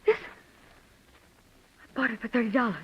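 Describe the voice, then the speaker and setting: An actress playing an unhinged, hysterical woman in a 1940s radio mystery, halting and repeating herself breathlessly. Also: a little high, husky, full character voice. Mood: nervous, brittle. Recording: dull, lo-fi vintage radio recording.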